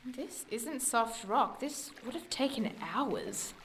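A woman's voice: a little high, lively intonation, slow pace, fairly bright tone, slight background noise. An actress playing a curious, absorbed young woman adventurer in an audio drama, with a hesitant, studious way of talking.

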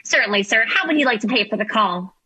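An actress playing a brisk, formal 1950s telephone operator in an old-time radio drama, polite and officious. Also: female voice, a little high, animated, sharp tone, slightly rough, heavily acted. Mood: businesslike, polite.

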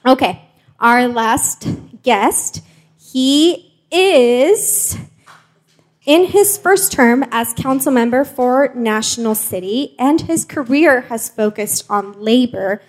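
Chatty woman with slightly high voice. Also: animated, slow pace. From Mexico. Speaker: host and journalist. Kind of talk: casual live panel hosting.

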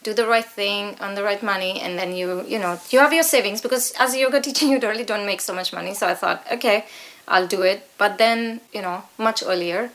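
A woman's voice: medium pitch, fairly quick, a bit bright, low-quality recording. A middle-aged woman with an Indian accent, talking conversationally as an interview guest. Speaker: guest, yoga teacher.

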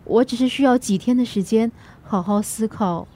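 An actress playing a troubled, easily wounded wife in a radio drama, emotional and pleading, with a Singaporean accent. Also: female voice, on the high side, normal speed, warm tone, lightly acted. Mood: troubled, subdued.

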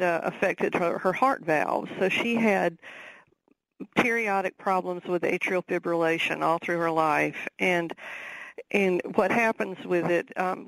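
A woman with a Southern accent talks through her answers as a radio guest, pausing often with fillers and restarting her sentences. Her voice has a gravelly edge, recorded dry and close. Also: lowish voice, fairly bright tone, no room sound, rough recording. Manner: thoughtful, many fillers and restarts. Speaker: author guest. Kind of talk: radio interview.

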